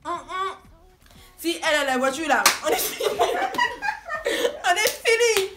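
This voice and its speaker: A woman's voice: very high-pitched, lively intonation, a little slow, roomy sound, loud music behind the voice. A woman in her twenties, born in Guinea and raised in France, chatting casually and giggling as a vlogger. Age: 20s. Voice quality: giggly, rambling. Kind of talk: casual chat.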